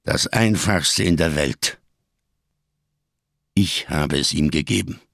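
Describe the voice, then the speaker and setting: An actor in a radio crime drama playing a giant, scarred, world-weary survivor who speaks in grand, bitterly ironic phrases. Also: male voice, very deep voice, creaky, a bit bright, dry recording, clean studio sound, full character voice. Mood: sardonic, grave. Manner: grandiose, bitterly ironic, slow rhetorical delivery.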